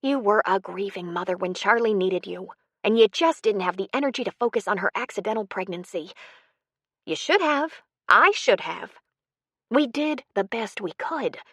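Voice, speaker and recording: A woman's voice: mid-range voice, brisk, few pauses, no echo, clean studio sound, pitched above the performer's own voice, close to an everyday voice. A woman voicing a frank, consoling older woman with an earnest, pleading, emphatic delivery in an audiobook story.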